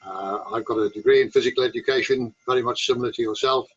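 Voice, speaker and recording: A man's voice: medium pitch, steady tone, fast talker, breathy edge. A man over seventy-five with an English accent, speaking as a veteran football coach in a reflective, conversational interview. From England. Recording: slightly dull, lo-fi sound.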